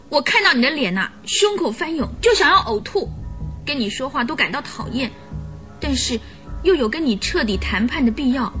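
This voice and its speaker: A woman's voice: a little high, close to an everyday voice. An actress playing a stubborn, defensive young woman suspected of murder in a radio drama, guarded and dramatic. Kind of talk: Chinese radio drama acting.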